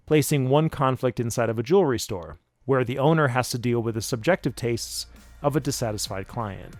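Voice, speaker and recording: A man's voice: mid-range voice, no echo. Man narrating an explainer over music. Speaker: video production narrator. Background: background music.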